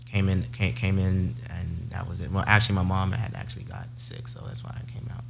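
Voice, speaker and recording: A man's voice: low-pitched, level tone, brisk, creaky, background noise. A man from Zimbabwe and the United States, a musician answering questions conversationally as an interview guest.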